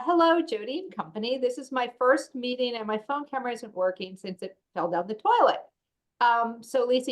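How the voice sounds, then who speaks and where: A woman's voice: a little high, fairly bright tone. A woman informally moderating a video call, reading out questions sent in by the audience. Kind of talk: informal hosting of an online Q&A.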